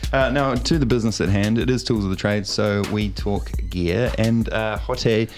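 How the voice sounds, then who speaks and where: A man's voice: on the low side, moderate pace. A man hosting a casual studio chat about music gear, laid-back and joking. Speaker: radio host.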